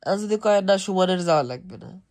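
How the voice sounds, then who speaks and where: A man's voice: sits high, expressive, brisk, a little mellow. A young man with an East Bengali accent, chatting casually with family as a home vlogger.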